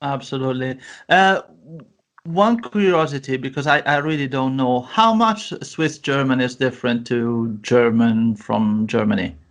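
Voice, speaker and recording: A man's voice: medium pitch, a little slow. A man hosting a podcast, asking long, rambling questions full of fillers and restarts. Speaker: podcast host, interviewer. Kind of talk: casual interview questions.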